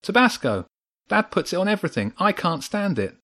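A man's voice: sits high, very animated, a little fast, sharp tone, voice pushed up, full character voice. A man voicing a blunt, eager young boy in a children's audiobook.

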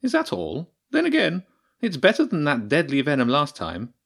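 A man's voice: a little high, huge pitch swings, normal speed, gravelly, voice pushed up, heavily acted. A man voicing an impatient, sardonic female badger scientist in a storybook reading, dry and mocking. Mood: curious, sly. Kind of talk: audiobook character voice.

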